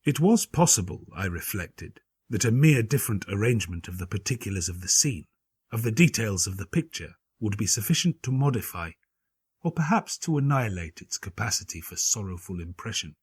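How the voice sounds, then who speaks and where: A man giving a dramatic gothic audiobook narration in a grave, measured, theatrical manner, with a husky voice. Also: fairly low pitch, very animated, no room sound. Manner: grave, measured, theatrical delivery with formal literary phrasing.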